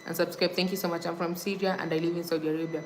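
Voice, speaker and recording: A woman's voice: fairly low pitch, flat delivery, very fast, slight background noise. A young woman from Kenya hosting a casual livestream, reading viewer comments and repeating thanks and subscribe reminders. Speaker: livestream host. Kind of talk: casual live-stream chat with viewers.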